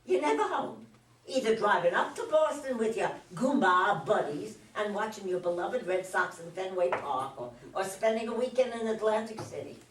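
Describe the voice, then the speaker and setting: Scolding, animated wife in an echoey room, played by a woman. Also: mid-range voice, normal speed, lightly acted. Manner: scolding, accusatory. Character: fed-up, nagging wife and mother, sharp-tongued. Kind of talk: amateur staged play reading. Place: indoor room at a live reading. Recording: echoey room, distant mic, faint noise.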